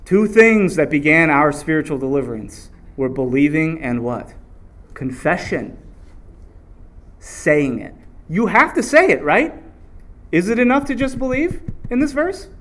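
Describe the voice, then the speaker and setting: Animated man preaching call-and-response. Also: a little high, a little slow, long pauses. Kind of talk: sermon.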